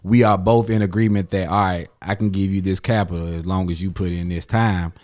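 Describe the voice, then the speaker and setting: A man hosts a relaxed chat among friends. He talks in loose, rambling stretches full of slang and fillers. His voice sits on the low side. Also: fairly quick. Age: 30s. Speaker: podcast host.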